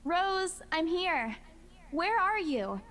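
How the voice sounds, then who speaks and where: A woman's voice: very high-pitched, very animated, extremely slow, a bit bright, heavily acted. An actress playing a hesitant young female estate agent in a visual novel game. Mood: calling out.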